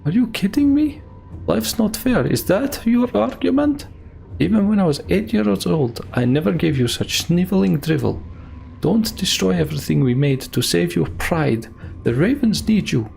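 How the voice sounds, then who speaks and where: Man doing a high, scolding woman warrior. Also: animated, moderate pace, vocal fry, darkish tone, faint music behind, exaggerated voice. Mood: indignant. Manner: pleading, scolding, indignant. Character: defiant woman warrior pleading with an old comrade.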